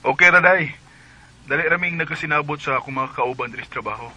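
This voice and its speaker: An actor playing a husband away from home in a radio drama, with a Cebuano Filipino accent. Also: male voice, on the high side, quick pace, pushed and bright. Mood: affectionate. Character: husband working away, speaking to his wife.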